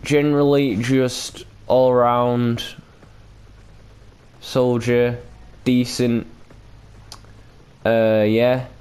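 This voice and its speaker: Guy doing chill game commentary, slow n rambly, hesitant, clean clear voice, kinda sweary. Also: medium pitch, flat delivery, a little mellow. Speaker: gaming video host. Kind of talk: casual unscripted game commentary.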